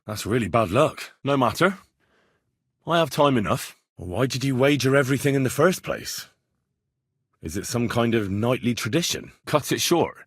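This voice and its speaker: Young medieval man, husky, dry close recording, blunt, righteous, earnest, video game dialogue. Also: mid-range voice, no echo. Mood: questioning, incredulous, then blunt, dismissive. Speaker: voice actor.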